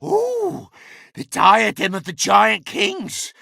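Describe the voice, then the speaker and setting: An actor voicing an ancient, talkative magical staff in a video game, sardonic, pompous and bawdy, with a theatrical delivery. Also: male voice, high voice, wildly swooping pitch, slow, gravelly, bright, forceful tone, no echo, studio quality, full character voice. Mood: sardonic, gossipy. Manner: sardonic, pompous, chatty, given to chuckling. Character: impish, talkative, bawdy ancient sentient staff, boastful adviser.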